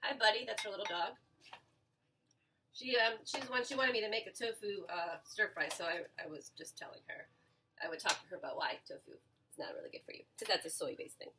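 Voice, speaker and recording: A woman's voice: medium pitch, a little fast, background noise. Woman, 40s, chatty home cook, camera mic at a distance, pans clattering in the background. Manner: chatty, talks to viewers while cooking, with filler and self-corrections. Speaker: cooking show host. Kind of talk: casual home cooking demo. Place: small home kitchen. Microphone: camera mic a few feet away.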